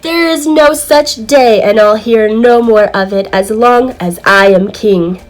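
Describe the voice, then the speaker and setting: A woman's voice: on the high side, a little slow, natural voice, low-quality recording. A woman voicing an absurd, commanding storybook king with a blustering, emphatic delivery. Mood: dismissive, amused.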